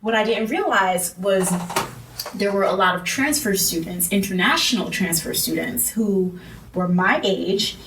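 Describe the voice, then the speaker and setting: Young woman co-host, animated storyteller, distant mic, faint room hum. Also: mid-range voice, reverberant. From United States, Jamaican family. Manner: animated storyteller, quick asides. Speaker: podcast co-host. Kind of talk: casual chat.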